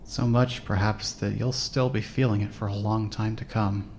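A man's voice: fairly low pitch, steady tone, moderate pace, a little mellow, a little hiss or hum, natural voice. A man reading scripted second-person narration for a fan-made audio drama.

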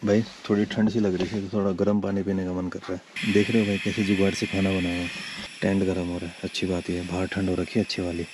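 A man with a lowish voice narrates a camping trip, talking chattily to his viewers as brothers. He speaks from a campsite at night and later from inside a tent. Faint noise sits under the voice. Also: level tone, fast talker. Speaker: travel vlogger. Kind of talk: casual vlog narration to viewers.

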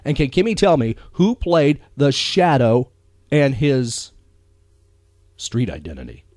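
Male podcast host, enthusiastic and off-the-cuff, very expressive pitch swings, close dry sound. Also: takes it slowly, dry recording. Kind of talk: casual rambling chat about pop culture.

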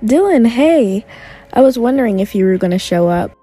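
A young woman voicing a calm, attentive teenage girl on a date. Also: a little high, moderate pace, husky, soft background music.

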